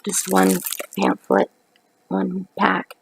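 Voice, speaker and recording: A middle-aged woman from Canada, hoarse from a cold, chatting casually through a craft haul video on her couch, with lots of ums. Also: mid-range voice, completely flat delivery, slow, poor audio. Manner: rambling, chatty, many ums. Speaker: craft vlogger. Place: living room at night, on a couch. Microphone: webcam at close range.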